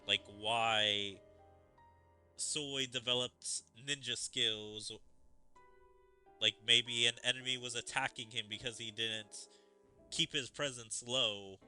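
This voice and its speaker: A man's voice: mid-range voice, pushed and bright. A man casually commenting while playing a game, musing aloud with many fillers. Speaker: streamer. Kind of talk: gameplay commentary. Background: game music.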